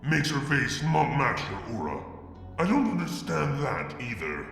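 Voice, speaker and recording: A man reading a fanfic aloud in a soft, halting first-person narration. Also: mid-range voice, huge pitch swings, creaky, reverberant, long reverb tail, faint music behind. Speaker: fanfic reader. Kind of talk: dramatic story reading.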